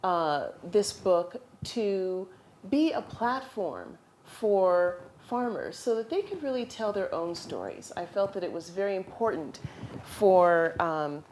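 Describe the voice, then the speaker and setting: Soft-spoken woman moderating a panel. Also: on the low side, big pitch swings, slow pace, a little hiss or hum. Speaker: author and moderator. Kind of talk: panel moderation at a live event.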